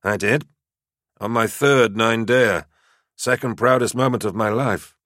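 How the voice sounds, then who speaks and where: A man's voice: mid-range voice, a little slow, a bit bright, no echo, clean studio sound, pitched above the performer's own voice, close to an everyday voice. A man voicing a battle-hardened, impatient warrior sergeant with a terse, commanding manner, in an audiobook story. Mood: quietly proud.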